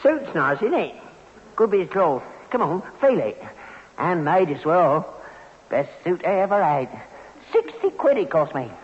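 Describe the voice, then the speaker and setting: A man's voice: high voice, sing-song, unhurried. A man acting a vain, cocky older father with a London accent, showing off in an old British radio sitcom. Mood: boastful. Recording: muffled vintage radio recording, reverberant, faint hiss.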